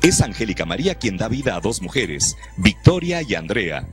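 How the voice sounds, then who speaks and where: Mexican announcer guy, low voice w big swoops, solemn old soap promo, music under it. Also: very animated, normal speed. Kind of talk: dramatic 1980s telenovela promo voice-over. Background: music, guitar.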